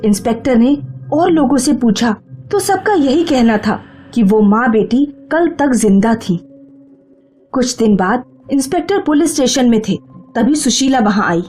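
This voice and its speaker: High, expressive woman storyteller. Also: moderate pace. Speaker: narrator. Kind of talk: dramatic scripted story narration. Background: background music.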